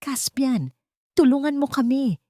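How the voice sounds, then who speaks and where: A woman's voice: high-pitched, lively intonation, unhurried, dark tone, dry recording, studio quality, voice pushed up. A woman voicing a frightened, once-haughty woman who begs urgently in a dramatic story. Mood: panicked. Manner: urgent, panicked.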